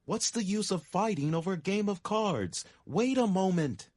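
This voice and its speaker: A man voicing an anxious man who pleads for calm in a dramatic story reading. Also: high voice, normal speed. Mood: pleading.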